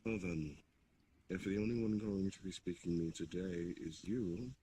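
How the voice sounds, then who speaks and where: An ancient demon king, acted by a man in an online roleplay, speaks in a deep, low voice. He is formal and deliberate, with calm authority and polite manners. Background noise sits behind the voice. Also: close to an everyday voice. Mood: courteous, commanding. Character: ancient demon king, courteous, measured, quietly commanding.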